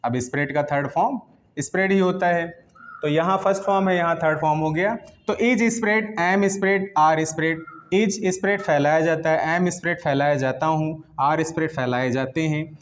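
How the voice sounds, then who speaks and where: High-pitched Indian man teaching. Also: moderate pace, slight background noise. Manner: repeats phrases and asks himself questions before answering. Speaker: English grammar teacher. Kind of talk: classroom lesson, explaining step by step in Hindi mixed with English terms.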